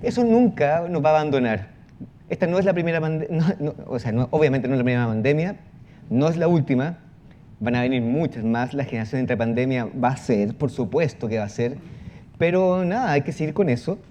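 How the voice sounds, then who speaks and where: A man with a dark, mellow voice talks off the cuff as a panel guest. He moves haltingly, doubling back on words, with faint ambient noise behind him. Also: medium pitch, lively intonation. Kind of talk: spontaneous panel talk. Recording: clear background ambient noise.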